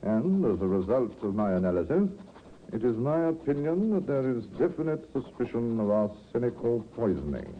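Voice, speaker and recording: A man's voice: mid-range voice, big pitch swings, moderate pace, slight background noise, lightly acted. An actor, a man, playing a stern, principled doctor who speaks formally and precisely in a 1950s radio drama. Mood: formal, assured. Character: stiff, principled, unyielding male doctor. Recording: thin, tinny old radio recording.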